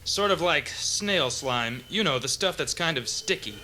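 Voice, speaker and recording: A man's voice: a little high, animated, unhurried, pushed and bright, slight background noise, full character voice. A man playing an earnest, exasperated hero in a melodramatic comic radio play. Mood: determined. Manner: intense, insistent, melodramatic. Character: earnest, exasperated everyman hero warning of a monster. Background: faint music.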